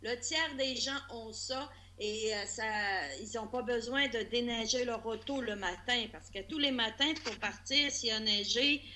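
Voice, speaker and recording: A woman's voice: a little high, measured pace, hardly any pauses, pushed and bright, a little hiss or hum. A woman from Quebec with a Québécois accent, chatting casually as a guest with many fillers. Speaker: guest on a call-in. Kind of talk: casual explanation.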